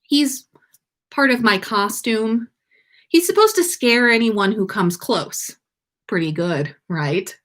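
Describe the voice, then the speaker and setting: A woman's voice: a little high, lively intonation, slow pace, a bit bright. A woman voicing a good-humored young male wizard in a storybook reading, sweetly teasing and proud. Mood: playful.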